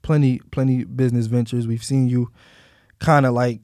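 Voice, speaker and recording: A man's voice: mid-range voice, fairly even intonation, moderate pace, darkish tone, dry recording, clean studio sound. A man with a Philadelphia accent hosting a casual interview in loose, slangy phrasing. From South Philadelphia, US. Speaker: interview show host.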